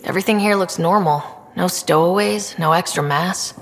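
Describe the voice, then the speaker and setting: A woman's voice: lowish voice, moderate pace, vocal fry, a little hiss or hum. An actress playing a young, wry woman who leads a starship crew in a video game, speaking conversationally. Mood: matter-of-fact. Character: young woman space explorer, wry, steady ship leader.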